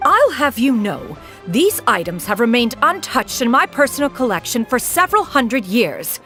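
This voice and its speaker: An actress playing a haughty, ancient immortal in a video game, who speaks in formal, archaic, imperious diction. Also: female voice, a little high, big pitch swings, moderate pace, fairly bright tone, soft background music. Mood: indignant, haughty. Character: haughty ancient crane immortal posing as an elegant woman. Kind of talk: scripted video game voice acting.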